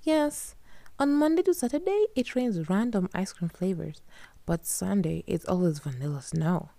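A woman voicing a cheerful young girl who guides visitors around an ice cream planet, in a bright, sing-song manner. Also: medium pitch, expressive, normal speed, creaky, dry recording. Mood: welcoming.

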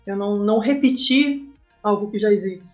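A woman's voice: mid-range voice, moderate pace, darkish tone, muffled recording, soft background music. A Brazilian woman speaking as a guest in a casual podcast interview, explaining her work and often checking in with short tag questions. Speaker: guest, comic artist and game writer.

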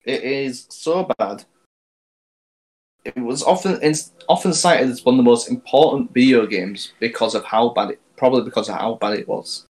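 A man co-hosting a casual podcast chat, talking haltingly and often restarting his sentences. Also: mid-range voice, darkish tone, low-quality recording. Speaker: podcast co-host.

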